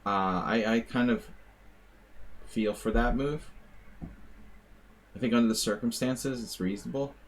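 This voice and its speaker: A man's voice: medium pitch, fairly even intonation, unhurried, long pauses, slight background noise. An American man giving casual, rambling live commentary on his chess games, with wry asides and plenty of fillers. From United States. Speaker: chess streamer.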